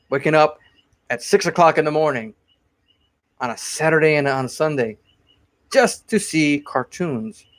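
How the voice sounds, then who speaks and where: A man in his forties from Connecticut, chatting casually on a live stream as he answers viewer questions about his cosplay builds. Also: mid-range voice. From Connecticut, USA. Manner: chatty and rambling, often repeats himself.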